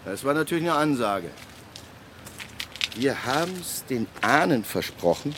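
An actor playing a government official in a radio play, telling a case emphatically with dramatic pauses and acted-out dialogue. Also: male voice, mid-range voice, sing-song, noisy background. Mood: wry, resigned. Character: animated male government official recounting a land restitution case. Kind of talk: conversational monologue in a radio play.

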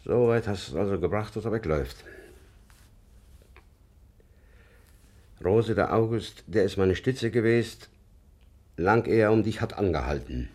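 A man's voice: lowish voice, lively intonation, moderate pace, a little mellow. An actor playing a stern, pious old father in a radio play, exasperated and reproachful. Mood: bitter reproach. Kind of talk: naturalistic radio play acting.

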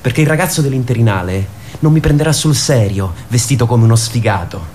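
A man's voice: medium pitch, expressive, brisk, darkish tone, close to an everyday voice. Man acting restless chattering teenage boy, noisy recording. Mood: agitated. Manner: rambling, insistent, slangy. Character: talkative restless teenage boy, frustrated, eager to escape. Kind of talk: radio theatre acting.

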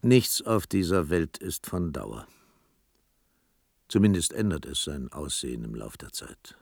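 A man with a very deep voice narrates a radio drama. He tells the story in a measured, literary manner, with a very dry studio sound around the voice. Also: very animated, creaky, darkish tone, no room sound.

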